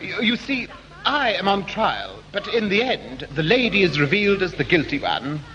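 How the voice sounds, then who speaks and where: A man's voice: high-pitched, big pitch swings, moderate pace, lo-fi sound, a crowd in the background. A man playing a timid, prim English amateur play producer, hesitant and fussy, in a 1960s British radio sitcom. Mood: fussy, nervous. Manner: hesitant, prim, nervous chuckling. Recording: faintly noisy 1960s radio broadcast.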